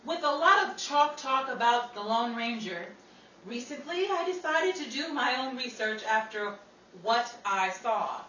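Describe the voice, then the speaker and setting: A woman's voice: fairly high pitch, expressive, a little slow, pushed and bright. A woman presenting a scripted history segment like an old-time newsflash, with formal, theatrical phrasing. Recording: echoey, distant, faintly noisy.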